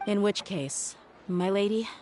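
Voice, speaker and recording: A woman's voice: mid-range voice, unhurried, dark tone, a little hiss or hum, natural voice. A woman playing a tough, principled young mercenary bodyguard in an anime game, speaking in a frank, firm, guarded way. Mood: composed, respectful. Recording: music behind the voice.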